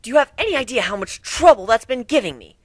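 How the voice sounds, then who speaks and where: A man's voice: very high-pitched, expressive, quick pace, no echo, voice pushed up. A man voicing a bookish, earnest young female unicorn who sounds flustered and indignant, in a cartoon fan story reading. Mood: exasperated.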